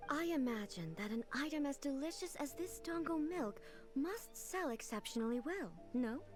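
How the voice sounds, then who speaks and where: A serene female ruler from a video game, high in pitch and composed. Her formal, curious lines move expressively over faint music. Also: expressive, moderate pace. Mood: pleased, pondering. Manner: composed, formal, gently authoritative.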